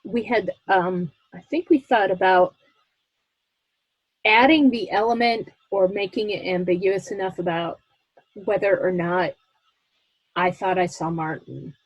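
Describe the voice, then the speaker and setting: A woman's voice: medium pitch, unhurried, long pauses, husky, no room sound, dull audio. A woman actor in a casual creative discussion, agreeable and tentative as she offers ideas. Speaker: improv audio drama actor.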